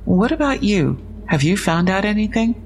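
An actress playing a frank, inquisitive police investigator in an audio drama, matter-of-fact and wry, with a husky, creaky voice. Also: female voice, mid-range voice, big pitch swings, moderate pace, a little hiss or hum. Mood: wry, intrigued. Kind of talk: full-cast audio drama dialogue.

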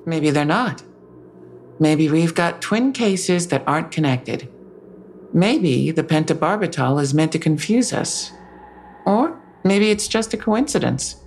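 Lady cop, matter-of-fact, dry and wry, lots of vocal fry, audio drama. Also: deep voice, lively intonation, unhurried, a little mellow, slight background noise. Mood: musing, wry. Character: brisk, matter-of-fact female police detective. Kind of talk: full-cast audio drama acting.

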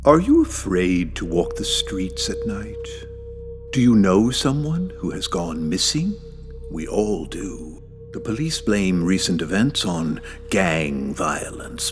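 Doomsday cult leader, slow n dramatic attack ad read, big swells in pitch, music under. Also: male voice, sing-song, full character voice. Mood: ominous, urgent. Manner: solemn, dramatic, deliberate preaching. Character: grave, alarmist doomsday church leader in political advertisement. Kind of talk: parody paid political ad narration.

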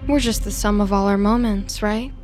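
A woman's voice: medium pitch, fairly even intonation, moderate pace, a little mellow, lightly acted. An actress playing a mousy, self-pitying young woman in a confessional audio drama monologue, wry and self-justifying. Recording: music under the voice. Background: music.

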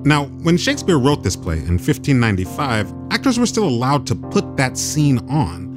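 A man's voice: medium pitch, big pitch swings, normal speed, over music. A man hosting a public radio programme, presenting and putting questions to guests in a broadcast style. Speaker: radio host.

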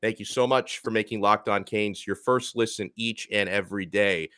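A man in his thirties hosting a sports podcast in a casual, energetic chatty way. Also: lowish voice, normal speed, clean tone, no room sound. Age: 30s. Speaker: podcast host.

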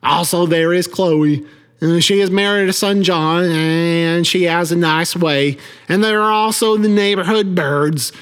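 A man hosting a podcast, introducing the show in a halting, folksy, oddly worded way with frequent stammers. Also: high-pitched, steady tone, a bit bright, clear, resonant tone, long reverb tail. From Billings, Montana. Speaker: podcast host. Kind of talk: quirky scripted host monologue.

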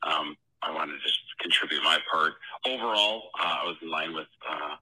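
A man with a very deep, raspy voice and a hard, sharp edge leaves a voicemail. He gives casual sports opinions in a measured, halting manner, recorded over the phone. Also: low-quality recording. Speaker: listener leaving a voicemail.

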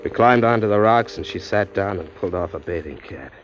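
Actor as a wisecracking hard-boiled drifter narrating a radio play; deep voice, dull vintage sound. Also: male voice, fairly quick, music in the background, natural voice. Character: tough young male drifter, wisecracking, smitten. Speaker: narrator and lead. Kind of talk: 1940s radio acting. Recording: muffled 1940s radio broadcast.

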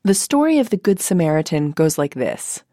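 Low, mellow-voiced American woman narrating. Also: very animated, moderate pace, dry recording, clean studio sound. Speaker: podcast host. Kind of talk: scripted narration and interviewing.

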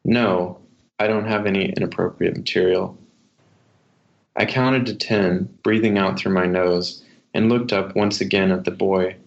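A man's voice: low voice, steady tone, measured pace, vocal fry. A man speaking as an author and guest, reading from a memoir or talking about writing. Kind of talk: memoir reading and literary interview talk. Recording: muffled, dull lo-fi sound.

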